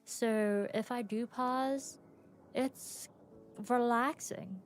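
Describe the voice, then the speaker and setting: A tired young woman hosting her own podcast, talking slowly and softly with long pauses and small laughs. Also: a little high, fairly even intonation. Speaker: podcast host and streamer. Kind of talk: unscripted reflective podcast talk. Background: soft background music.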